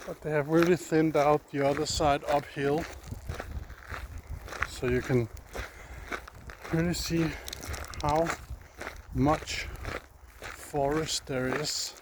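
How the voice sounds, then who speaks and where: Slow-talking Danish man on a forest walk. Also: a little high. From Denmark. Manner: unhurried, thinking aloud, pausing and searching for words. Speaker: vlogger. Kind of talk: casual walking vlog. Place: outdoors on a forest trail. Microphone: handheld phone. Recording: outdoor phone recording with clear background noise.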